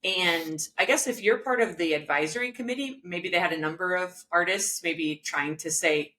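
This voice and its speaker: Low-voiced woman hosting a podcast. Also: normal speed, pushed and bright. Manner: friendly, earnest questioning. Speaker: podcast host. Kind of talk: interview hosting. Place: home room, indoors. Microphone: video call.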